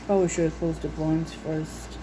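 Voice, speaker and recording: A woman's voice: low voice, level tone, normal speed, noisy background. A woman chatting casually and unscripted, reading things out and musing to herself in a homey, folksy way. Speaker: vlogger showing a recipe book. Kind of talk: casual unscripted chat while browsing and reading out recipes. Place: indoors at home.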